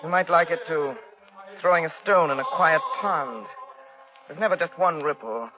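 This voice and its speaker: An actor playing a wealthy, cultured, reflective amateur sleuth in an urbane manner in a 1930s radio drama. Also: male voice, fairly high pitch, normal speed, dull audio, close to an everyday voice. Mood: thoughtful. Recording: band-limited 1930s radio recording with music.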